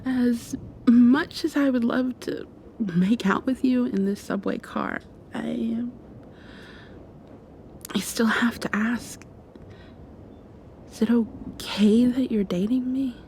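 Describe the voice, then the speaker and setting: Woman acting a soft, creaky, shy young girlfriend. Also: on the high side, slight background noise. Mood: flustered, shy. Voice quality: soft, breathy, often dropping to a whisper. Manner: hesitant, halting, confiding. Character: shy, tender young mosquito girl confessing past heartbreak to girlfriend. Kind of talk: intimate ASMR roleplay.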